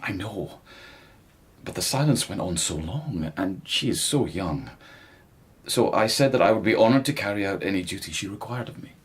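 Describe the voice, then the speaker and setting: A low-born palace lieutenant in a radio play, plain-spoken and courteous. His voice is deep and husky, his manner respectful with a wry touch. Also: animated, normal speed, full character voice. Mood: puzzled. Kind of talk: radio drama acting.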